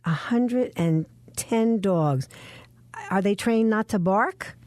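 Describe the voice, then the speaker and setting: A woman's voice: medium pitch, slow, darkish tone, no echo. A woman hosting an interview, asking chatty, playful questions. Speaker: interview host. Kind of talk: radio interview.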